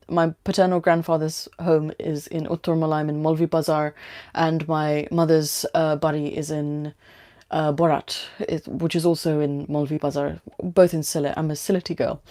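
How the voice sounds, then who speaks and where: A woman's voice: low-pitched, darkish tone. A woman with a London British accent, hosting a livestream with story reading and casual chat.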